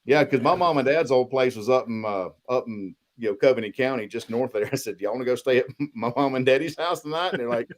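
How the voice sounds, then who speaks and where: A man's voice: mid-range voice, fast talker, few pauses. A man chatting casually and telling spooky personal stories in a folksy, slangy way, as a guest on a podcast. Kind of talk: casual storytelling chat.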